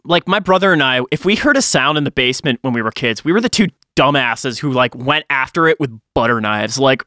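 Male podcast host, crisp bright tone, very dry sound, casual conversational delivery. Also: mid-range voice, expressive, a little fast, no room sound.

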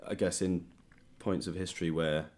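A young man with a British accent hosting a casual interview, speaking in a tentative, halting way. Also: low-pitched, fairly even intonation, a little mellow. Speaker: podcast host. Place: in a home.

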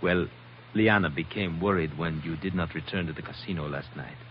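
Supporting role , male actor: very low, formally polite, deferential foreigner, dull and faintly noisy old radio sound. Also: a little fast, close to an everyday voice. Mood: solicitous. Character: courteous, deferential foreign man, hostess's brother. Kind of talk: 1940s radio adventure acting.

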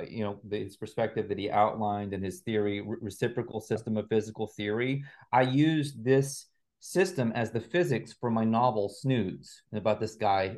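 A man's voice: fairly low pitch, a little mellow. A man speaking as an interview guest, explaining ideas conversationally in a reflective way, like an author discussing his work. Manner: reflective, explains through analogies and examples.

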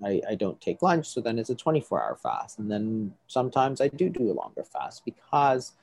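A man's voice: on the low side, huge pitch swings, moderate pace, vocal fry, a little mellow, slightly rough. A middle-aged man, a doctor, explaining things to an interviewer, often restarting and checking with "right?". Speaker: doctor treating patients.

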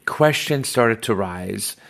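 A man in his fifties, born in Iran, telling his life story in a measured, reflective way during a podcast interview. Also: lowish voice, animated, creaky, a bit bright. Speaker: podcast host being interviewed. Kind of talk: conversational interview.

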